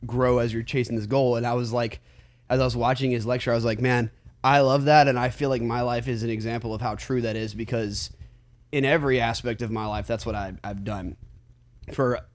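A man's voice: medium pitch, steady tone, fairly quick. Late-20s musician guy, earnest, chatty, lots of "like" and "um". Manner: earnest, reflective, many fillers. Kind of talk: casual podcast chat.

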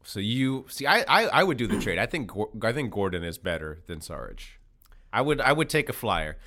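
A man's voice: lowish voice, big pitch swings, a little fast, sharp tone. A man hosting a casual sports podcast, talking in a bantering, teasing way. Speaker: podcast host.

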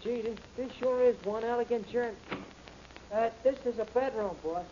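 An actor playing a dim, admiring sidekick in a 1940s radio drama, talking in naive, stammering slang. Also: male voice, very high-pitched, moderate pace, dark tone. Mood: eager, puzzled. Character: dim, eager, admiring sidekick, adult man. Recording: dull, noisy vintage radio recording.

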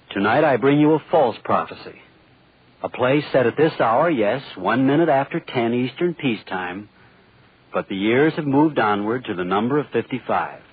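A man hosting a radio drama, giving earnest, deliberate, dramatic scripted narration in 1940s style with a husky, rough voice. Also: medium pitch. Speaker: host and playwright introducing the play. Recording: muffled 1940s radio recording.

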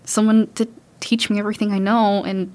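A woman's voice: medium pitch, flat delivery, fairly quick, a little mellow, close to an everyday voice. Woman playing frank, tough survivor daughter. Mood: consoling, sad. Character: tough, loving young daughter surviving apocalypse.

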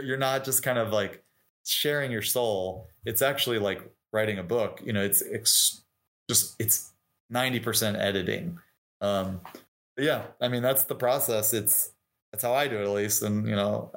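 Low-pitched middle-aged man, informal and talkative. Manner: informal and talkative, voicing imagined quotes. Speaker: interview guest, touring musician and singer. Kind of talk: casual conversational interview, explaining craft.

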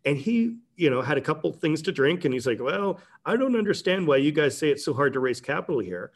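A man's voice: a little high, sing-song, brisk. A middle-aged man, a company president talking casually as a guest, rambling with many restarts. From Canada. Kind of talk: casual interview talk.

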